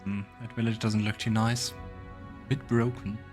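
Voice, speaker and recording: A man with a lowish voice gives casual gameplay commentary. He thinks aloud and reads the on-screen story text as he goes, with game music faintly behind him. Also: flat delivery, unhurried, a little mellow. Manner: musing aloud to himself. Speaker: let's play host.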